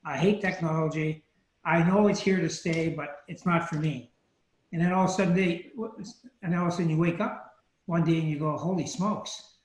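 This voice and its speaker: A man's voice: fairly high pitch, steady tone, normal speed, roomy sound, rough recording. A man in his late sixties on a video call panel, frankly telling a story about himself. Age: 60s.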